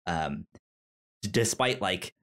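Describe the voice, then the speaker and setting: A man's voice: low voice, lively intonation, slow pace, fairly bright tone. A man co-hosting a podcast, chatting casually about film with a frequent stammer, repeating syllables and searching for words. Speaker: podcast co-host.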